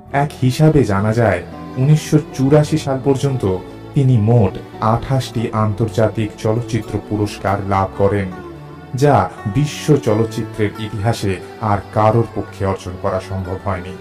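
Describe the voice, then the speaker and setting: A man narrating a scripted audio story in a measured, expressive storytelling manner. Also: on the low side. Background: musical background.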